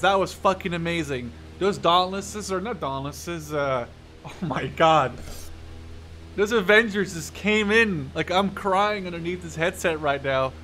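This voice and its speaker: A young man giving casual, profanity-laden gameplay commentary, excitable and often talking to himself as he plays. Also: high voice, slight background noise. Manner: excitable, swears freely, talks to himself. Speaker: gaming YouTuber. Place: small room at home.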